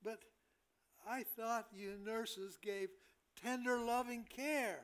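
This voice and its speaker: An older man acts out a put-upon hospital patient on an amateur stage. His voice is unusually high and he speaks slowly, stammering as he protests in a flustered way. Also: fairly even intonation, fairly bright tone, smooth, full character voice. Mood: pleading. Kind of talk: amateur staged play reading.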